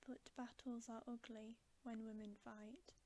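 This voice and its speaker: A solemn, kindly old man of a storybook, whispered by a woman reader. The speech is slow and measured, with a soft, mellow hush. It stays grave and even. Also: fairly high pitch.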